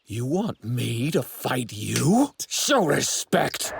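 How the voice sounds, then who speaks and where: An actor in an English anime dub playing a haughty young divine apprentice with cold contempt for mortals, speaking in formal, pompous, precise phrases. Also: male voice, mid-range voice, big pitch swings, drawn-out words, creaky, husky, no echo, heavily acted. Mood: indignant.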